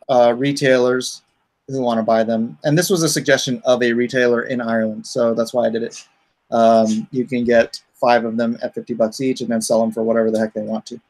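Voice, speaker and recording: A man's voice: medium pitch, steady tone, a little fast, darkish tone. A man hosting a casual livestream as a comic creator, rambling and thinking aloud as he talks through his campaign.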